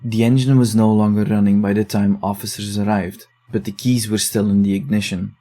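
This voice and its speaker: A man's voice: lowish voice, flat delivery, brisk, dark tone. A man playing a reluctant investigator who reads eerie case files aloud in an audio drama, then argues warily with a friend. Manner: reluctant, wary, exasperated in banter. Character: young man digging through eerie case recordings. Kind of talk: audio drama narration and dialogue.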